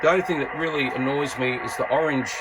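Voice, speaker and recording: An Australian-accented man talks in a medium-pitched voice, like a hobbyist showing off his gear to fellow enthusiasts. His delivery is casual and blokey, full of colloquial turns, and stays laid-back as he reviews the equipment. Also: quick pace, heavy background noise. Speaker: YouTube radio hobbyist and reviewer. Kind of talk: casual hands-on gear review with CB radio chatter.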